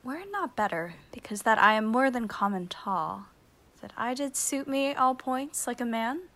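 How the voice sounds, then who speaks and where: A woman's voice: fairly high pitch, expressive, normal speed, a bit bright. A woman playing a proud, lovelorn young banished noblewoman in a Shakespearean radio play, speaking earnestly. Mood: anxious.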